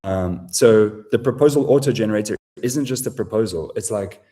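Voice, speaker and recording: Profane South African man pitching live. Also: normal speed, dark tone. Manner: casual, profane, filler-heavy sales talk. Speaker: webinar presenter. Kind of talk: live sales webinar pitch.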